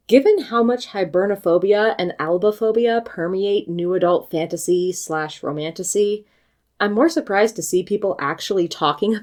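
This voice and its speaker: An American woman reading a scripted book review video essay in a measured, articulate and critical manner. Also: medium pitch. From United States.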